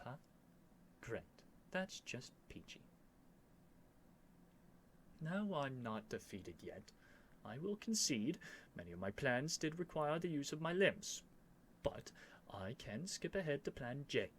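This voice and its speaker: A man's voice: medium pitch, slight background noise. A man playing a stubborn, flustered young prince with haughty, theatrical phrasing in an ASMR roleplay.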